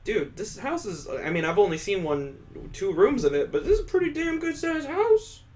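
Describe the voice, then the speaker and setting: A man's voice: high voice, sing-song, moderate pace, a little mellow. A man hosting a gaming video, reading aloud and adding sarcastic, irreverent commentary in a casual way. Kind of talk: casual let's-play reading with joking commentary.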